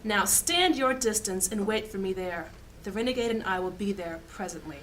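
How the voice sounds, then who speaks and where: A woman voicing a stern, high-ranking female mage who gives clipped, authoritative commands in a fantasy audio drama. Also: expressive, moderate pace, a bit bright, a little hiss or hum, close to an everyday voice. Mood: commanding.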